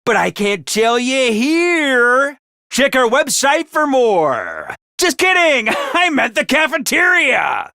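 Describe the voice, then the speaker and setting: A man voicing a peppy, bossy little mascot commanding officer in a video game, with a high cartoonish voice and theatrical, jaunty drill-sergeant delivery. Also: wildly swooping pitch, slow pace, vocal fry, bright, forceful tone, no room sound, clean studio sound, heavily acted. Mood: gleeful, rousing. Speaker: voice actor for a video game character. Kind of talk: animated video game voice acting.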